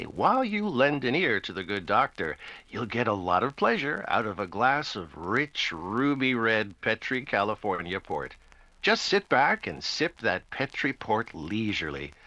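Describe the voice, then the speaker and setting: Hearty vintage radio pitchman played by a man: polished, emphatic, lively melody, dry close studio sound. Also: dry recording, lo-fi sound, full character voice. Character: hearty, courteous 1940s radio sponsor announcer. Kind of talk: 1940s radio advertising patter.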